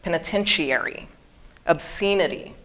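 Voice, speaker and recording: A woman's voice: on the low side, a little slow, a bit bright, poor audio. A woman in her early forties from Hawaii, giving a prepared seminar talk and pronouncing each word with care. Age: 40s. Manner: careful, over-articulated pronunciation. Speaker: guest speaker.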